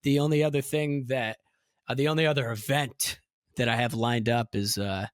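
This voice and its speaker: A man's voice: medium pitch, moderate pace, no room sound, clean studio sound. A young man co-hosting a podcast, chatting casually and slipping into mock voices for jokes. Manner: animated, jokey, breaks into mock voices. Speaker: podcast co-host. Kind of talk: casual chat.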